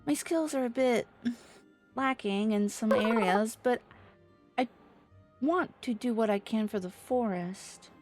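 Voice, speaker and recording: A woman's voice: on the high side, slow pace, pauses often, a little mellow, soft background music. A woman voicing an eager, earnest young girl apprentice healer in a fantasy game. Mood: pleading. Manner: pleading, earnest.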